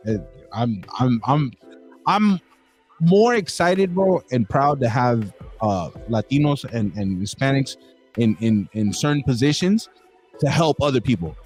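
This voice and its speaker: A man's voice: mid-range voice, expressive, measured pace, a little mellow, soft background music. Rambling man hosting a podcast. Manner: rambling, many restarts, calls people bro. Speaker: podcast host. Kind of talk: casual interview.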